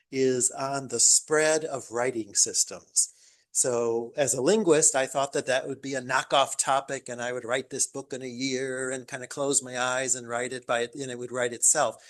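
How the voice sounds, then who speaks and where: Older man giving a chatty lecture. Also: medium pitch. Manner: chatty, self-deprecating, joking asides. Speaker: guest lecturer. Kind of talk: academic lecture to a live audience. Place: lecture room.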